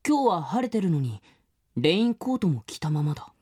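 An earnest teenage schoolboy in an audio drama. The voice is husky and moves freely up and down in pitch. He is skeptical and sincere, both as narrator and as character. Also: big pitch swings, brisk, mellow. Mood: reflective narrating. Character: earnest, skeptical middle-school boy, also narrating his story. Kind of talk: audio drama acting with first-person narration.

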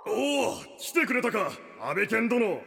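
A man's voice: high voice, big pitch swings, unhurried, creaky, fairly bright tone, long reverb tail, soft background music, exaggerated voice. A man playing an earnest middle-aged samurai retainer who speaks solemnly in archaic period-drama style. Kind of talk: comedic period radio drama acting.

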